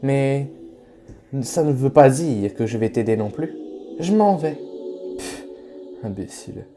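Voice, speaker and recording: A man playing a frightened, bitter, proud young male creature in a fan comic dub, speaking in a dramatic, halting, stammering way. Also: mid-range voice, expressive, warm tone, faint music behind. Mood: irritated.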